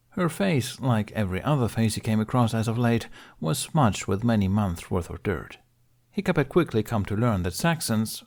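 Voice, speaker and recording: A man with a fairly low voice narrates an audiobook. He reads scripted fiction as a storyteller. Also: darkish tone.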